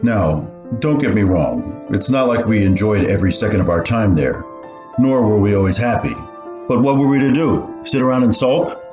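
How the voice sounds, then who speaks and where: An actor playing a wry elderly man haltingly recalling his schooldays in a radio play. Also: lowish voice, lively intonation, natural voice. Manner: halting, reminiscing. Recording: muffled, roomy, music underneath.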